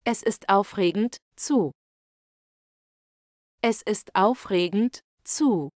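A woman slowly reading German example sentences for learners, carefully enunciated and repeated. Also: mid-range voice, darkish tone, dry recording, studio quality. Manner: carefully enunciated, each phrase read twice. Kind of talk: slow, clear model sentences for learners.

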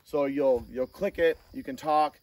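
A man's voice: medium pitch, steady tone, measured pace, darkish tone, no room sound. Distant, casual male gear reviewer outdoors. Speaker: host of a gear review channel. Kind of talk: casual hands-on product review talk. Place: outdoor shooting range. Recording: distant mic, outdoor noise, insect chirps.

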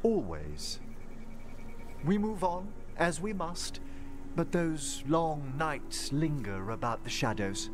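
An actor voicing a gentle, wistful older necromancer scholar in a video game, speaking formally and courteously. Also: male voice, fairly high pitch, very animated, slow pace, over music. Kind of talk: video game dialogue acting.

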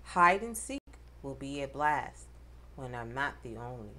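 Low-voiced, lively woman reading to children. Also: measured pace. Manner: addresses children directly, dramatic emphasis on rhymes. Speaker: host reading picture books aloud to children. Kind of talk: expressive children's read-aloud.

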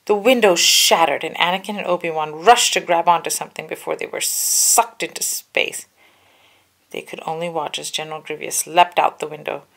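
A woman's voice: deep voice, creaky. A woman reading a children's storybook aloud with careful, dramatic narration. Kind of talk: children's book read-aloud, scripted narration.

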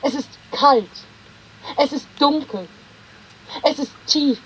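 A woman giving a literary reading of poems, with measured, deliberate phrasing and pauses between short lines. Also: high-pitched, low-quality recording. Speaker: poetry reader.

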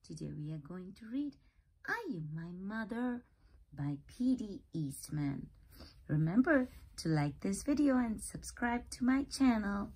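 A woman hosting a read-aloud for young children, narrating expressively and pausing to explain and ask simple questions. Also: mid-range voice, very animated, darkish tone, breathy edge. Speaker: storybook reader and channel host.

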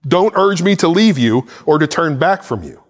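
A man's voice: fairly high pitch, lively intonation, normal speed, long reverb tail. A man in his forties preaching a sermon to camera from a church stage, gesturing with a Bible in hand. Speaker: pastor. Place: church stage set with plants and a cross.

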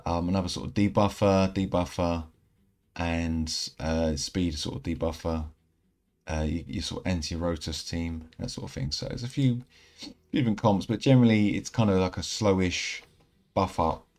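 A man in his thirties giving casual, rambling commentary over mobile game footage, a little croaky from a night out. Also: low voice, moderate pace. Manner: relaxed, rambling, self-correcting. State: slightly croaky from singing. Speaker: gaming channel host. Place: indoor room at home.

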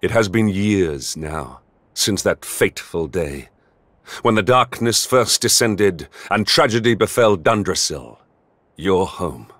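Low, solemn knight general. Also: male voice, a bit bright, no room sound. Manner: formal, solemn, grave delivery. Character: stern, remorseful male knight general, mature, noble and brooding. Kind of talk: video game cutscene acting.

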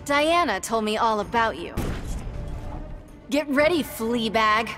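Young game superheroine, high animated voice, sassy, defiant, confident, music behind. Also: female voice, takes it slowly. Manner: flippant, defiant. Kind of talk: video game character acting.

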